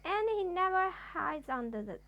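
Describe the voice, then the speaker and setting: A woman reads a children's bedtime story with great expression. Her voice is high and very animated, and she takes her time over the phrases. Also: unhurried, highs cut off.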